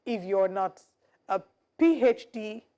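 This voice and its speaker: A woman's voice: mid-range voice, animated, takes it slowly, mellow, highs cut off. A woman co-hosting a morning talk show, giving opinions emphatically and stressing her key words. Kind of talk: casual studio chat.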